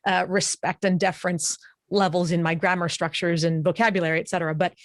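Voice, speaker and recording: Low-voiced American woman hosting a podcast. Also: level tone, a little fast, no echo, clean studio sound. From United States. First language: English. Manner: thoughtful, explanatory, lists examples with frequent "et cetera". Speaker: podcast host. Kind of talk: conversational podcast interview.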